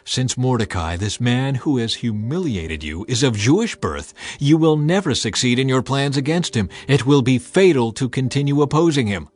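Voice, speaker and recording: A man's voice: medium pitch, lively intonation, normal speed, few pauses, darkish tone, lightly acted. A man voicing an official's blunt, scheming wife and advisers in an emphatic way, in a dramatized audiobook. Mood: foreboding. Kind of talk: dramatized audiobook character acting.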